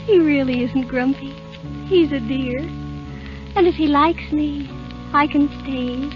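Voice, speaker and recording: An actress playing a sweet, innocent young princess, gentle and earnest in a childlike way, in vintage radio drama style. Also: female voice, sits high, slow pace. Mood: tender, hopeful. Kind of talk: 1930s-40s radio acting. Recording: muffled old radio recording with music.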